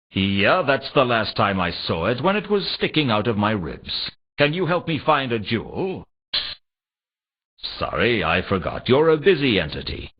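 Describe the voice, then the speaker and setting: A dull, lo-fi game recording of a world-weary male detective. His low voice swings widely in pitch as he delivers sardonic, deadpan noir lines. He is a hardboiled investigator from a fantasy adventure game. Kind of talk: video game dialogue and noir narration. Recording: dull lo-fi game audio, faint noise.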